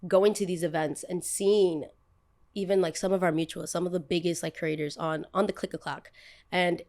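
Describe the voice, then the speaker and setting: A young woman with a New Jersey accent hosting a podcast, telling stories in an animated, emphatic way with quick asides. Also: fairly low pitch. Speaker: podcast host. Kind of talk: casual chat.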